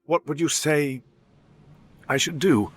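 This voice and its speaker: An actor playing an awkward, flustered Englishman in love in a radio comedy, halting, earnest and exasperated. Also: a little high, husky. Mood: earnest, nervous. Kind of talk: radio comedy drama acting.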